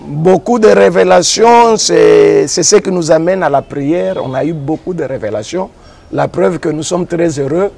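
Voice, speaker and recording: A man with a Congolese French accent, a pastor and engineer, answering interview questions in a measured way and repeating phrases as he defines ideas. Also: on the high side, expressive, gravelly, a little mellow, husky. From Democratic Republic of the Congo.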